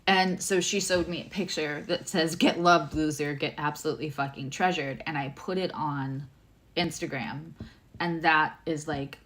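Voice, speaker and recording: A woman tarot reader and astrologer with a low voice, giving a livestream reading, chatty and emotional, addressing viewers by name. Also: fairly even intonation, moderate pace, a bit bright.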